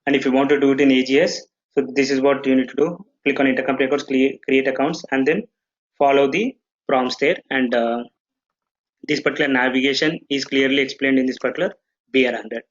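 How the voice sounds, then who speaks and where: A man running a software training session, explaining steps and checking in with frequent "okay"s and restarts. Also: mid-range voice, fairly even intonation, fast talker, highs cut off. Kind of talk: live online training session.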